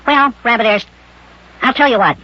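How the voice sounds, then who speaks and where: A man voicing an eager, mischievous, boastful little boy in a children's radio serial, with a high, raspy, sped-up voice. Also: normal speed. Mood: self-important. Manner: chattering, boastful, plays at being important. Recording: dull lo-fi old radio recording.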